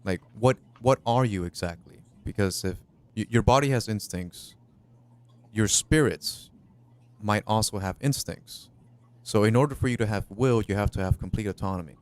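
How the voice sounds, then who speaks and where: A man's voice: fairly low pitch, normal speed, a little mellow. A man in his thirties, co-hosting a casual late-night chat, thinking aloud in a reflective way with restarts. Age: 30s. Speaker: co-host.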